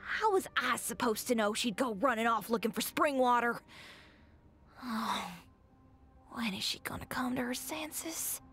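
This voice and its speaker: A woman's voice: fairly high pitch, expressive, unhurried, a bit bright. A woman playing an exasperated, dismissive mother in a video game. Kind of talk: game voice acting. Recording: clear music behind the voice.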